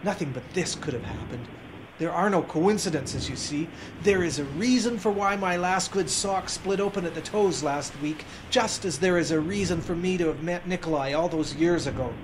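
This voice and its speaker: Guy doing a weary homeless narrator, kinda high voice, earnest, hiss in the background. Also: moderate pace, close to an everyday voice. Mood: reflective. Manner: reflective, earnest storytelling. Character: weary homeless man narrating his story. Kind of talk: first-person drama narration.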